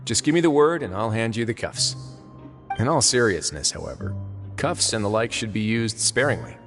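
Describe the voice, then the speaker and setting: A composed duke in a fantasy game, his voice lowish and steady. He talks calmly with a wry, measured edge. Game music sits clearly under him. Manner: calm, dry, measured, wry. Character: composed male duke and prison warden. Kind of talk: game voice acting.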